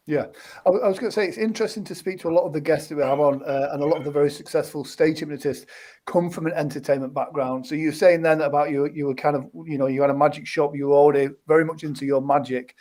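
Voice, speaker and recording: A man's voice: on the high side, fairly even intonation, fast talker, darkish tone. Man hosting an interview, rambling. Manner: rambling, with many restarts and fillers. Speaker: interview host. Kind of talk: conversational interview questions.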